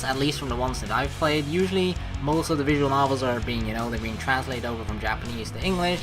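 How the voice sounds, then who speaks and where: A man giving casual, rambling, joking commentary as he hosts a video game playthrough, swearing now and then. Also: fairly high pitch. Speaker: let's play host. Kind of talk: casual gaming commentary with read-aloud game text. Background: background music.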